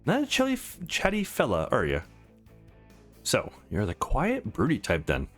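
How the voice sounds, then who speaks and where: A man's voice: medium pitch, wildly swooping pitch, normal speed, faint music behind. A man voicing a flirtatious, conflicted married woman in a visual novel, frank, teasing and confessional.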